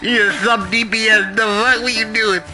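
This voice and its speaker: A man's voice: high voice, steady tone, normal speed. A man live-streaming a video game, reacting casually in slangy, profane, exclamatory talk. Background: game music.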